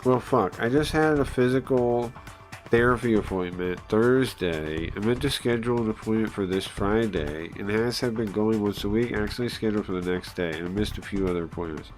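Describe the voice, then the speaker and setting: A man reading and translating a visual novel aloud on a stream in a halting, informal way, often searching for words and chatting along. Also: soft background music. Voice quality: low-pitched voice. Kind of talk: casual live read-through, translating and chatting.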